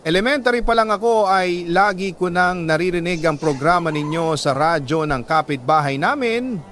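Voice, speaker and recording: A man with a Filipino accent reading a scripted dramatic story in an earnest, sentimental, measured way. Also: sits high, fairly bright tone, a little hiss or hum. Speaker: radio drama narrator reading a listener's letter. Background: background music.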